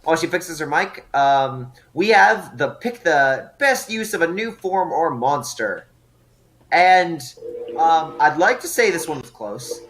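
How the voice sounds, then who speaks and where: Halting male host reading results. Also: on the high side, big pitch swings, fairly bright tone. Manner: halting, many fillers and restarts. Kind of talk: casual group chat over voice call.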